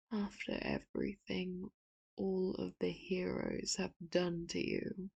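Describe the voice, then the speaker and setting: A woman voicing a manipulative, doting villain in an ASMR audio roleplay, speaking slowly and softly with sudden sharp threats, close to the mic. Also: on the low side, gravelly, breathy edge, no echo, exaggerated voice. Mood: soft, menacing. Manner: slow, hushed, often whispered, turns sharp and loud for threats. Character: doting, manipulative villain, soft-spoken and menacing.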